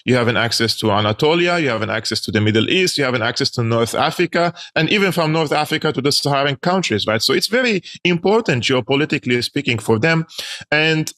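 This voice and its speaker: A man's voice: mid-range voice, expressive, quick pace, fairly bright tone. A man from Syria, a commentator, giving emphatic interview answers with some hesitations. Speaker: geopolitical commentator, guest.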